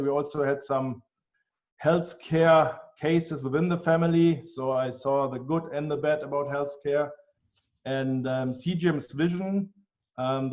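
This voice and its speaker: A man with a German accent answers interview questions, earnest and measured, pausing on ums. His voice sits a little high for a man. The recording sounds muffled and lo-fi. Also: a little mellow. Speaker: guest, healthcare tech executive. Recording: dull, lo-fi sound.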